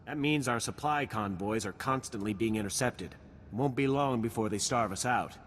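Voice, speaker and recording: A man's voice: mid-range voice, moderate pace, fairly bright tone, close to an everyday voice. A man playing a serious, beleaguered outpost soldier in a science fiction video game. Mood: worried, urgent. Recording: reverberant hall, noisy game ambience.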